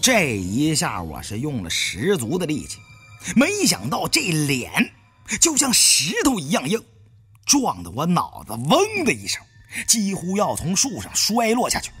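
Male audiobook narrator for adventure thriller, very lively intonation, suspenseful, light music behind. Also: on the high side, a little slow, slightly rough. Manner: expressive, suspenseful delivery. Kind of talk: dramatic scripted storytelling of an adventure thriller. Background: background music.

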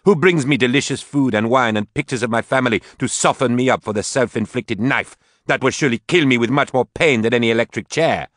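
A male narrator plays a righteous older woman on trial, talking in careful, formal sentences. his voice sits low and dark, and the recording is completely dry. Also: lively intonation, no echo. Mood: defiant. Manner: formal, measured speech. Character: proud imprisoned mother on trial, older woman, righteous and unbending.

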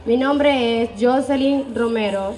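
A woman's voice: a little high, slow, darkish tone. An eighteen-year-old woman introducing herself formally as a pageant contestant. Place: outdoor evening plaza before a crowd. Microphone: handheld microphone.

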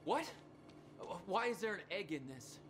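Video game lead: defiant man on the run, urgent and blunt, under loud score. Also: sits high, lively intonation, normal speed, music in the background. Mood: tense, confused. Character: Jack, tense, defiant younger brother on the run.

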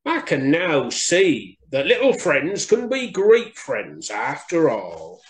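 A man voicing a big, proud, boastful male lion in an animated children's story, theatrical and snarling. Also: fairly high pitch, slow pace, full character voice. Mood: humbled.

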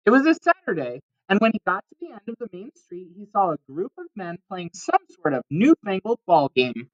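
A woman's voice: mid-range voice, big pitch swings, a little fast, dull audio. A woman reading a children's storybook aloud expressively, with dramatic emphasis on key words. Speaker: storybook reader.

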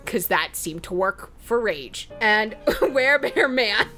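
A woman's voice: medium pitch, expressive, slow pace, bright, forceful tone. A woman telling a story casually as a let's play host, giggly, slangy and rambling, acting out bits of dialogue as she goes, with music behind her. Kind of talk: animated casual storytelling recap.

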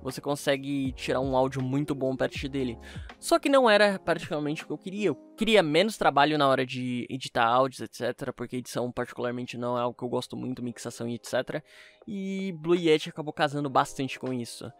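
A Brazilian man talks informally to his viewers in a medium-pitched voice. His delivery is chatty and casual, like a creator sharing a gear review as a voice-over. Music plays behind him. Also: fast talker, a bit bright. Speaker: YouTube creator and fan dubber.

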